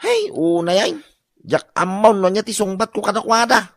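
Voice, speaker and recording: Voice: mid-range voice, moderate pace, no echo, clean studio sound, natural voice. An actor playing an exasperated, grumbling worker fed up with nosy neighbours in an Ilocano comedy radio drama. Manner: grumbling, exclaiming.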